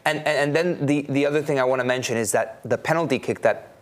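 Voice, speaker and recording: A man's voice: mid-range voice, fast talker. A man giving conversational commentary as a panelist on a soccer show.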